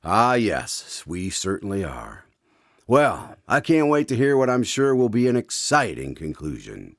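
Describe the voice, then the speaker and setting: A man's voice: lowish voice, wildly swooping pitch, unhurried, a bit bright, dry recording, clean studio sound, heavily acted. A man playing a genial, eager radio host, in a scripted announcer style. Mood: eager, curious. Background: guitar and piano music.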